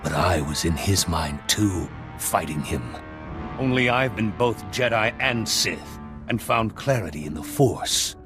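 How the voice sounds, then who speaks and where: An actor playing a solemn, zealous and weary fallen Jedi in a video game, speaking gravely and deliberately. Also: male voice, low-pitched, animated. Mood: bitter, resolute. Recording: loud music under the voice.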